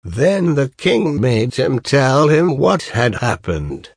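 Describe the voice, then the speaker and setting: Very slow male storyteller, gravelly and dry. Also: mid-range voice, very animated, dry recording. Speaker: storyteller reading a fairy tale. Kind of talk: slow scripted audiobook narration of a fairy tale.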